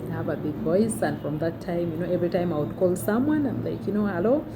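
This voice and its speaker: Big-voiced woman telling a personal story. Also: fast. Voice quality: big, deep voice. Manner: conversational with many fillers. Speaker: vlogger. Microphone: phone camera. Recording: noticeable background noise.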